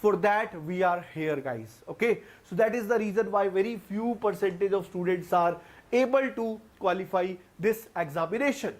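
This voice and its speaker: A man's voice: high-pitched, moderate pace. A young man with an Indian English accent teaching an online exam-prep class, explaining step by step and often repeating himself. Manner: explains step by step, repeats phrases, addresses students as guys.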